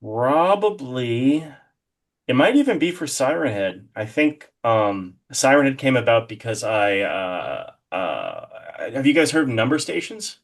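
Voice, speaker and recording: A man's voice: mid-range voice, sing-song, a little slow, creaky. A man chatting casually as an interview guest, rambling and enthusiastic, often hesitating as he works out his thought. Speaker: guest, horror artist being interviewed.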